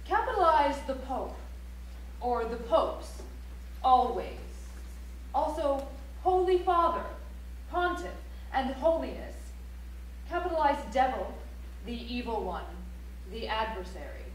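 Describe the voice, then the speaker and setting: A woman playing a high, prim grammar instructor. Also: very animated, slow pace, long pauses, heavily acted. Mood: prim, emphatic. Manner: didactic, emphatic, clipped imperatives. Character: prim female grammar instructor issuing capitalization rules. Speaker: stage actor. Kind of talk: theatrical recitation of a rulebook. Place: library auditorium stage, live play. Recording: echoey auditorium from far off, faint hum.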